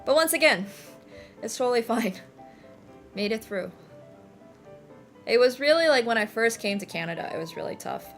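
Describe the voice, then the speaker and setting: A woman's voice: a little high, animated, moderate pace, long pauses, faint music behind. A young woman in her twenties whose first language is Finnish, chatting casually with her stream, laughing often and saying "like" and "bro" a lot. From Finland. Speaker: livestreamer.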